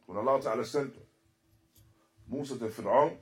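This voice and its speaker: A man giving a religious lecture as a teacher, speaking deliberately and emphatically in short, repeated phrases and switching into Arabic quotations. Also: mid-range voice, darkish tone. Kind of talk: religious lecture explaining a text.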